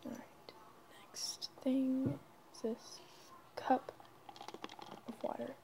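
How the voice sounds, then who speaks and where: A woman narrating ASMR in a breathy whisper, halting and informal, on a noisy home recording. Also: high-pitched, level tone, mellow. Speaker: ASMR video creator.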